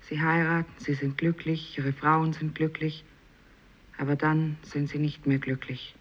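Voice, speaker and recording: Eine Schauspielerin spielt in einem literarischen Hörspiel eine düstere, fatalistische Fischersfrau. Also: female voice, deep voice, flat delivery, measured pace, husky. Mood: fatalistic. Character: sombre, fatalistic fisherwoman foretelling misery. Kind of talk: 1950s literary radio play acting. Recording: dull, lo-fi radio recording.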